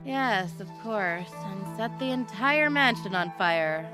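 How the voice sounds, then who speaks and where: A woman's voice: mid-range voice, animated, slow. A woman acting a scolding, sarcastic overseer in a fan-made visual novel. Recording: background music.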